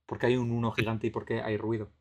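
A man's voice: on the low side, flat delivery, fast. A man with a Madrid Spanish accent, hosting a casual live stream and commentating on a game in a bemused, questioning way. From Madrid area, Spain. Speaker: podcast host and streamer.

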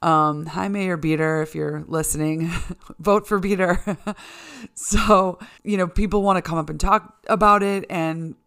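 A woman's voice: lowish voice, fairly even intonation, a little slow, a bit bright, clean tone. A middle-aged American woman hosting a solo podcast, talking candidly and casually to listeners in an informal, mildly sweary way. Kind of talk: casual conversational monologue to listeners.

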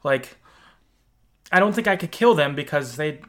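A man hosting a podcast, casually talking through a video game in an informal, halting way, thinking aloud as he goes. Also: on the high side, expressive, a bit bright. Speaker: podcast host. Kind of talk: casual conversational game discussion.

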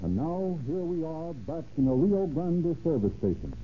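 A man's voice: fairly high pitch, big pitch swings, moderate pace, a little hiss or hum. Formal male vintage radio narrator, tinny sound. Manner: formal, declarative. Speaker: announcer and narrator. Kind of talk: 1930s radio scripted narration. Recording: tinny old radio recording, humming.

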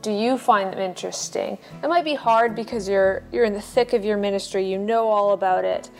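Woman giving informal advice, medium pitch. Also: brisk, gravelly, darkish tone, soft background music. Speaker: podcast guest giving advice. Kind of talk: informal explanatory talk.

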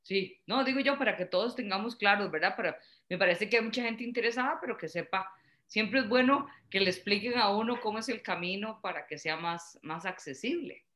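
A woman's voice: medium pitch, fairly quick, fairly bright tone. A woman hosting an online interview over a video call, chatty and making friendly asides between her questions. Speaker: host.

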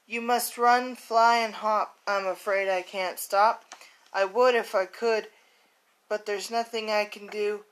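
A woman's voice: mid-range voice, steady tone, slow pace, natural voice. A woman voicing an apologetic male elephant in a rhyming storybook read aloud. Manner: apologetic, fussy rhyming speech. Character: sorry, sneezy male elephant.

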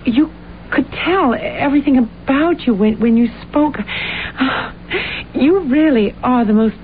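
A woman's voice: high-pitched, unhurried. An actress playing a genteel young woman, hesitant, admiring and easily flustered, in old-time radio drama style. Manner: hesitant, stammering, breathless politeness. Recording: tinny, faintly noisy vintage radio recording.

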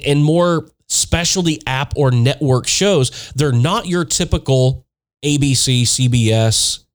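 A man hosting his own podcast in a chatty, upbeat way, talking through a stuffed-up, nasal voice from allergies. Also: mid-range voice. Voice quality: congested, nasal. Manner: chatty, upbeat, with frequent restarts. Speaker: podcast host. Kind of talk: casual solo podcast talk.

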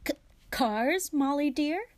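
A man's voice: high voice, expressive, measured pace, darkish tone. A woman voicing an eager, easily flustered middle-aged wizard father with a hesitant, guilty manner, as in an audiobook. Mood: nervous, guilty. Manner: stammering, hesitant when cornered. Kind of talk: audiobook character voice.

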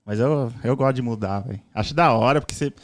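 A man's voice: medium pitch, fast talker, dry recording. A man with a São Paulo Brazilian accent co-hosting an informal podcast, chatting casually in jokey, slangy banter. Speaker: podcast co-host.

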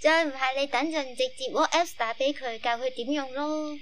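A woman's voice: sits high, moderate pace, a little hiss or hum, lightly acted. A woman playing an easygoing young student roommate in a radio drama, with a Hong Kong Cantonese accent. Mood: casual. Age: young adult.

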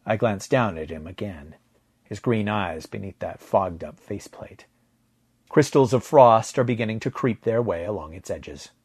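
A man narrating a science fiction story in the first person, with a measured, dramatic delivery. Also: lowish voice, lively intonation, a little mellow, slightly rough, no room sound.

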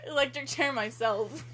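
A woman's voice: sits high, wildly swooping pitch, slow, a bit bright, a little hiss or hum. A young woman co-hosting a podcast, casually reading amateur fiction aloud with sarcastic, self-mocking, giggly commentary. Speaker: podcast co-host. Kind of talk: casual joking dramatic reading.

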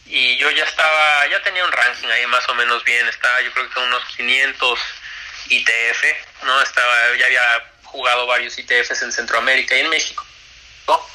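A man's voice: medium pitch, pushed and bright, rough recording. A young man talks casually as an interview guest about college tennis, with many fillers and restarts.

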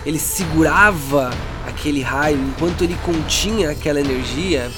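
A man with a Brazilian accent working as game master, narrating a tabletop role-playing story in a dramatic, deliberate way with pauses. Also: a little high. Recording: music bed behind the voice. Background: background music.

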